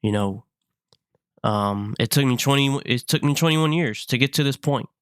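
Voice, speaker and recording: A man in his twenties, sick, talking in a halting, earnest way, often repeating himself in a personal vlog. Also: mid-range voice, smooth, no room sound, clean studio sound. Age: 20s. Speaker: vlogger.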